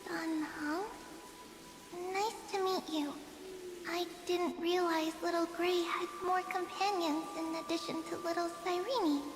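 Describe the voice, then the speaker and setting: A woman playing a small, childlike girl in a video game, earnest, kindly and gentle, with a cute delivery. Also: very high-pitched, steady tone, slow pace. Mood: earnest, concerned. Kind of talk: scripted video game drama. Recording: echoey reverb over music.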